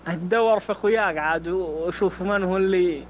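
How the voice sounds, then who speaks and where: Man faking a Saudi Bedouin accent, bossy teasing Saudi guy, high voice, roomy lo-fi recording. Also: a little fast, a little hiss or hum, natural voice. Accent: put-on Saudi Bedouin Arabic. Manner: teasing, questioning, folksy. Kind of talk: prank voice message.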